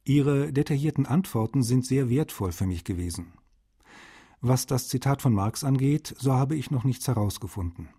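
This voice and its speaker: A courteous literary translator. His voice is mellow and slightly husky, at a middle pitch. He reads his letters politely and formally, as in a radio feature. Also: lively intonation, normal speed. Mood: courteous, earnest. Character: courteous male literary translator writing to an author. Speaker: voice actor reading letters.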